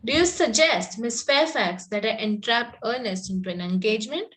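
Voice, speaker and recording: A spirited young country girl in a Victorian comedy, acted by a woman at a table read. The voice is on the high side. She is sweetly polite with a pointed edge, and she stumbles a little as she reads. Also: expressive, moderate pace, fairly bright tone. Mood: politely defiant. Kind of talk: amateur reading of a Victorian comedy play.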